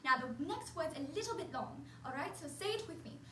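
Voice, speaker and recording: Cheerful prim nanny played by a woman, high sing-song voice, encouraging, roomy echo, far-off mic. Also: sing-song, fairly quick, noisy background. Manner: sing-song, encouraging, talks to unseen children. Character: cheerful prim female nanny, young adult. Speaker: costumed character performer for children. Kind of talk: in-character children's activity video. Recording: roomy sound from a distant mic.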